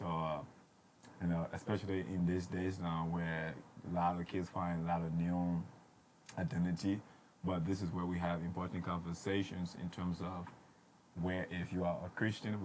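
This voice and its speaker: A man's voice: low-pitched, a little mellow, a little hiss or hum, rough recording. A man from Liberia who grew up in Ivory Coast, a podcast guest who earnestly advises parents. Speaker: podcast guest, former student turned teacher. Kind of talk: casual podcast conversation.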